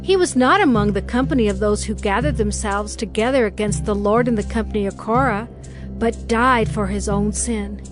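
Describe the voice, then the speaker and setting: A woman playing a bereaved daughter who makes an earnest, deliberate plea in a dramatized scripture reading. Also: medium pitch, over music, natural voice. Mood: earnest pleading.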